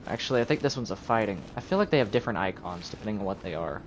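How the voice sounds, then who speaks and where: A man's voice: lowish voice, expressive, racing, a little hiss or hum. A man giving casual live commentary on a video game, thinking aloud as he plays. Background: video game music.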